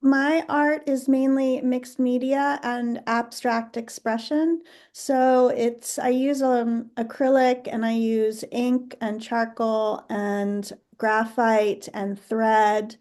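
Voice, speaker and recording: A middle-aged woman from New Jersey, a guest artist in a conversational interview, speaking thoughtfully with many pauses. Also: fairly high pitch, fairly even intonation, a little mellow. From United States (New Jersey).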